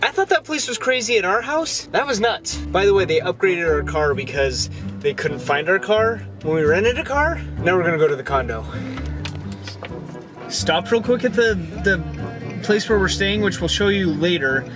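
A man's voice: sits high, sing-song, moderate pace, over music. A man in his thirties, a family vlogger, joking and chatting to a handheld camera while traveling, tired from the trip. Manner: playful, joking, talks to camera at arm's length. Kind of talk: casual travel vlog. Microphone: camera at arm's length.

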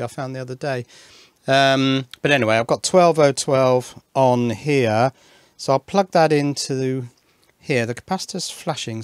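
A man's voice: mid-range voice, dry recording. Man thinking aloud, dry close sound. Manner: thinking aloud, explaining step by step. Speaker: electronics hobbyist and presenter. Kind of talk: informal hands-on technical narration.

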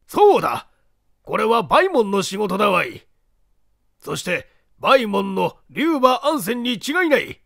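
A man voicing an indignant, suspicious castle owner with forceful old-fashioned speech in a storybook. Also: high-pitched, very animated, normal speed, vocal fry, no room sound, studio quality, voice pushed up, heavily acted.

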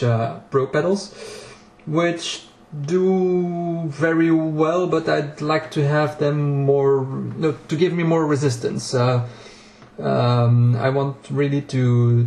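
A man's voice: a little high, steady tone, takes it slowly, a little mellow. Hesitant Dutch man in an echoey room. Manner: hesitant, many fillers and restarts. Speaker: home cockpit builder and vlogger. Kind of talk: casual walkthrough video. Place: small home cockpit room. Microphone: action camera.

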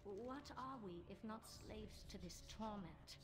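A woman playing a grim, proud, scornful undead warrior queen in a video game, with a husky voice and an imperious, dramatic delivery. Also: medium pitch, measured pace, natural voice. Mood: bitter. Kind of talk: video game character acting.

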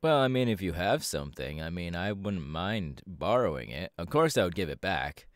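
A man voicing a laid-back, cocky young winged superhero in a casual ASMR audio roleplay, talking slangily with hesitant pauses. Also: low-pitched, very animated, no echo, clean studio sound. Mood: musing, easygoing.

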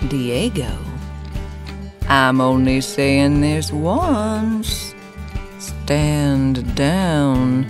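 Man voicing a slow, cooing, malicious woman. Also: sits high, animated, over music, pitched above the performer's own voice, exaggerated voice. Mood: coldly commanding. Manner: slow, cooing, mock-genteel taunting. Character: caustic, menacing veiled woman, sweetly malicious Southern kin. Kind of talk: audio horror story character dialogue.

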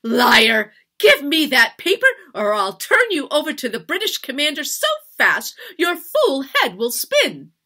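A woman voicing a haughty, cruel mistress of a household in a storybook reading, imperious and given to shrill, emphatic outbursts. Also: huge pitch swings, unhurried, hardly any pauses, bright, forceful tone, full character voice. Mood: furious. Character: haughty, cruel, raging slave-owning mistress.

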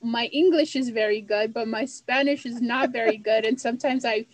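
A middle-aged woman hosts a community meeting over a webcam on a video call. Her voice sits a bit high, and she talks in a friendly, loose, chatty way with plenty of fillers. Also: normal speed, noisy background. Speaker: meeting host for a transit riders club. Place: indoors with a virtual background.

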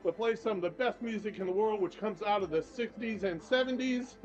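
A man's voice: high-pitched, a little fast, a little mellow. Chatty older man giving a walking tour. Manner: chatty, upbeat, repeats "very, very". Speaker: travel vlogger and tour guide. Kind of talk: casual walking tour narration. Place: shops and streets of a small town, indoors and out. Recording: slightly dull sound, faint music behind.

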